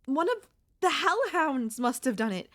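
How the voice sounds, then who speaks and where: A woman voicing a gentle, wistful and anxious young centipede monster girl in an ASMR audio roleplay, speaking softly and hesitantly in a conversational way. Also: high voice, sing-song, measured pace, no room sound, studio quality, full character voice. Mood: alarmed, suspicious.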